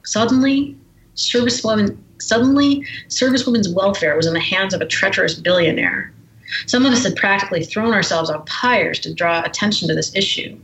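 A woman's voice: fairly low pitch, a bit bright, echoey room, muffled recording, a little hiss or hum. A woman guest who is an author, speaking in a forthright, indignant way and reading from her memoir. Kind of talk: reading aloud from her memoir, then conversation.

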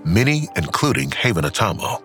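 A man's voice: lowish voice, sing-song, brisk, fairly bright tone, faint music behind. A man reading scripted scene narration for an audio drama. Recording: clear background ambience noise.

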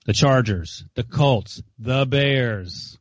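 A man co-hosting a sports podcast, chiming in with quick, wry remarks. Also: mid-range voice, no echo. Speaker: podcast co-host. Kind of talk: casual sports talk. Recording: dry lo-fi podcast mic.

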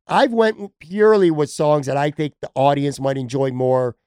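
A man's voice: on the high side, moderate pace, darkish tone, smooth, no echo, studio quality. A man hosting a casual sports podcast, chatting and joking with a guest. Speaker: podcast host.

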